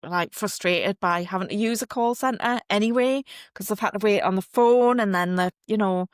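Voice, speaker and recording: A woman's voice: mid-range voice, normal speed, no echo, studio quality. A woman with a Geordie accent hosting an interview, chatty and rambling, with filler words. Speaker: interview host. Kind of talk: conversational interview.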